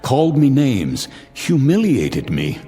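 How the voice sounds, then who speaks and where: A man's voice: mid-range voice, huge pitch swings, slow pace, warm tone. An actor playing a vengeful rich man who taunts his former teacher, with a sneering, theatrical manner, in a vintage television drama. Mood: taunting. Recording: vintage recording with long reverb and music.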